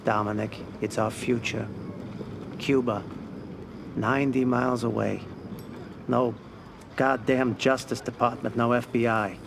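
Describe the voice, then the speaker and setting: A man playing a shrewd, older mob financier in a video game, with a gravelly voice and a deliberate, persuasive manner. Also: medium pitch, fairly even intonation, noisy background. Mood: visionary. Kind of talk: cinematic video game acting.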